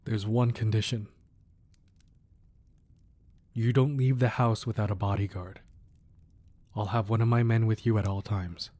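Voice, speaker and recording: A man playing a protective, romantic crime boss husband in an intimate ASMR roleplay, soft and hushed, tender with an edge of menace. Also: lowish voice, no echo. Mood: firm, protective. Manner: slow, deliberate, tender murmuring with pauses.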